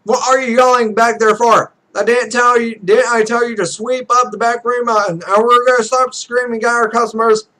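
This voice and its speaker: A man voicing a stern, bossy mother who scolds and yells, from a visual novel. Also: extremely high pitch, little pitch movement, moderate pace, hardly any pauses, low-quality recording. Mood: scolding, exasperated. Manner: bossy, yelling. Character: stern, scolding mother running a gaming shop.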